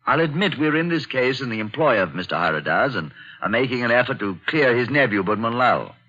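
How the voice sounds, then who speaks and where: A chatty, excitable colonial host in a vintage radio play, with a bright, ringing voice that swings widely in pitch. He talks eagerly and jumps to conclusions. The recording is dull and lo-fi. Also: fairly quick. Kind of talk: 1940s radio acting.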